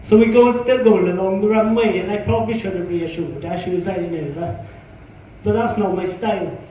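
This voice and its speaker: A man's voice: high voice, a little fast, warm tone, slight background noise. A man with a Welsh accent doing a stand-up comedy set in a pub, punchy, sweary and self-mocking. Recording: echoey pub room, distant muffled mic.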